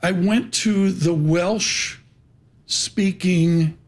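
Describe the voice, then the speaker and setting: A man hosting a live business show, chatting in a rambling, animated way. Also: high-pitched, drawn-out words. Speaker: host.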